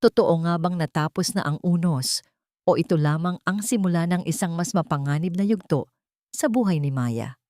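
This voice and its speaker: A female storyteller narrates a dramatic audio tale in a fairly low voice. Her delivery is solemn and measured, following a written script. The sound is dry, with very little room around the voice. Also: warm tone, dry recording.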